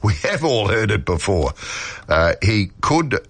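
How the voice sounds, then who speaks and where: A man's voice: fairly low pitch, big pitch swings, a little slow, creaky, no echo. An Australian man presenting a radio talk show in a conversational, slightly hesitant way. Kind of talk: talkback radio presenting, reading listener messages. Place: radio studio. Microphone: close studio microphone.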